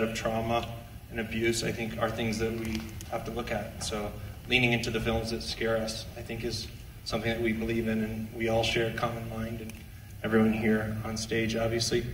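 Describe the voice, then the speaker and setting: A man in his thirties talks earnestly and haltingly with a very flat, unvarying pitch. He is on a handheld mic in an echoey theatre, picked up from a distance. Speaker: screenwriter at a premiere Q&A. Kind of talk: halting Q&A answer. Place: large theatre stage. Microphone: handheld stage mic, picked up from afar. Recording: echoey hall, distant camera, background noise.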